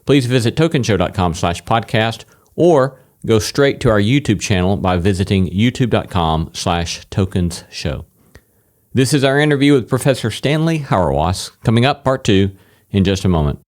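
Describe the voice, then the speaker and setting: A man hosting and interviewing for a podcast, presenting in a measured, deliberate announcer's way. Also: fairly low pitch, lively intonation, a little mellow. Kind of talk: hosting and presenting a public theology show.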